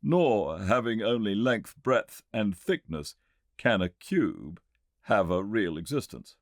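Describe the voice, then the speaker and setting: An earnest Victorian gentleman inventor , as a male narrator plays him, lectures in a British accent with very lively, swinging intonation. He is formal and emphatic, pressing each point with relish, and the sound is dry and close, as in an audiobook. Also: on the low side, dry recording, pitched above the performer's own voice, exaggerated voice. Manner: emphatic, didactic, formal, deliberately pointed phrasing. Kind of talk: audiobook character reading.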